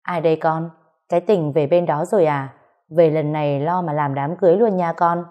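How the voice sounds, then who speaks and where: A woman's voice: low-pitched, fairly even intonation, normal speed, muffled recording, lightly acted. A woman voicing an anxious, fiery older mother who fusses and scolds her son in an audio story. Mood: eager fussing. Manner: fussing, scolding shrilly.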